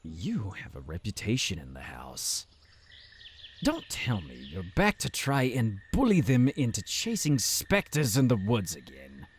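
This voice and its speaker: Man playing a slow, sneering pompous official. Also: on the low side, huge pitch swings, a little hiss or hum. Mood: sneering contempt. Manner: pompous, condescending, drawn-out formal phrasing. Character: haughty, sneering town official, condescending and smug male. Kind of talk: fantasy audio roleplay acting.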